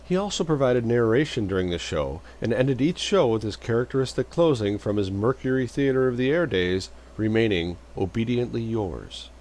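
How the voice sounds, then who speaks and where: A man's voice: mid-range voice, animated, normal speed, few pauses, darkish tone. A man reading a scripted, informational narration.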